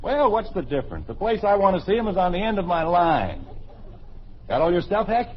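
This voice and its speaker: A man playing an impatient, wisecracking father and fishing buddy in an old-time radio comedy, with a husky voice. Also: sits high, very animated, fairly quick. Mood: wry. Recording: muffled old radio recording.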